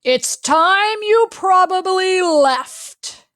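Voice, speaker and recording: A woman's voice: very high voice, lively intonation, drawn-out words, a bit bright, voice pushed up, heavily acted. A woman voicing a grumpy, fussy male pig in a storybook, speaking in curt, emphatic exclamations. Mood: angry, hurt.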